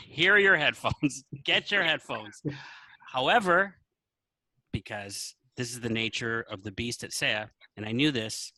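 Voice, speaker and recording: A man's voice: medium pitch, bright, forceful tone, no room sound, low-quality recording. A man telling an anecdote in a casual podcast style, acting out the dialogue as he goes. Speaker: podcast guest, teacher. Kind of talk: casual storytelling.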